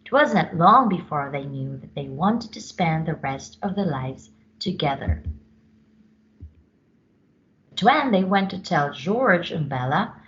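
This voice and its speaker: A woman storyteller reads to children at an unhurried pace, with big swoops in pitch and dramatic emphasis. Her voice sits slightly low, and the recording is a little muffled. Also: a bit bright, a little hiss or hum. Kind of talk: children's read-aloud storytelling.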